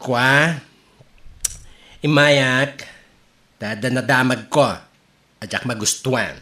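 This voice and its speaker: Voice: mid-range voice, takes it slowly, sharp tone. A performer playing a gossipy, meddling friend in an Ilocano radio comedy drama, with an Ilocano accent, drawling and tossing in English interjections. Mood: nagging, warning.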